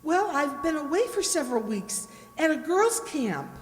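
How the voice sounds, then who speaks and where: A woman's voice: high voice, very animated, normal speed. A woman playing a prim, fretful and naive schoolteacher with a hesitant, plaintive manner in an old-time radio drama style, in a reverberant recording. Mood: earnest, fussy. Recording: very reverberant room or hall.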